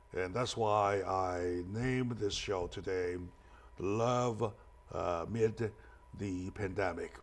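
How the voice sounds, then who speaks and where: A man hosting a talk show interview, speaking with halting, hesitant phrasing. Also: fairly low pitch.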